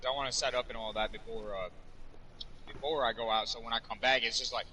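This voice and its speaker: Bright-voiced, profane young streamer man. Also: medium pitch, steady tone, fast, a little hiss or hum, low-quality recording. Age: 20s. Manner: rambling, profane storytelling. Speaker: game streamer. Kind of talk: casual chat while gaming.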